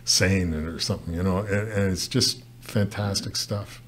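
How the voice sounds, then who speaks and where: Deep-voiced older Canadian man, rambling. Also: fairly even intonation, measured pace, a bit bright, a little hiss or hum. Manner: rambling, frequent restarts and fillers. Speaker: guest photojournalist. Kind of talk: casual interview talk. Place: studio set with brick wall. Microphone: desk mic on stand.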